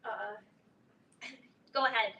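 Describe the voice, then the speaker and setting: A woman's voice: a little high, fairly even intonation, slow, bright, forceful tone, muffled recording, exaggerated voice. A woman playing an eager, nervous teenage schoolgirl in a staged play reading, hesitant and apologetic as she speaks. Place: live reading in a roomy indoor space. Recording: distant echoey room, faint noise.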